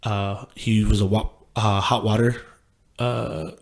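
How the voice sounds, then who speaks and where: A man's voice: lowish voice, level tone, slow, creaky. A man in his late twenties from Texas, telling his life story as a podcast guest in a loose, rambling way, with lots of fillers and casual swearing. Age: late 20s. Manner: loose and profane, lots of "like" and restarts.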